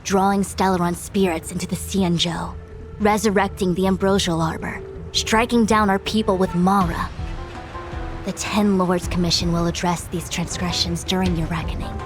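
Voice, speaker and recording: A woman playing a grave, composed diviner official in a video game, speaking in a measured, formal, authoritative way. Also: fairly low pitch, fairly even intonation, music in the background, lightly acted. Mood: stern, accusing. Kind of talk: scripted video game cutscene acting.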